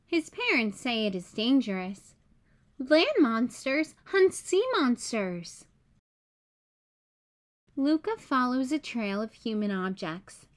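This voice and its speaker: A woman reading a children's picture book aloud as a storyteller, in clear, simple, expressive storybook delivery. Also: a little high, takes it slowly, pauses often.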